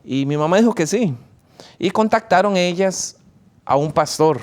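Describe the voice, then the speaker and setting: A man from Costa Rica, a theologian guest in an interview, speaking in measured answers with frequent hesitations. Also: high-pitched, expressive. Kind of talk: interview answers, recounting his life.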